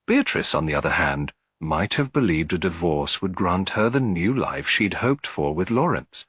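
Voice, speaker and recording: Low male storyteller reading a whodunit, very expressive pitch, bit husky, dry close sound. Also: normal speed, no echo. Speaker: audiobook narrator. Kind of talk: scripted mystery story narration.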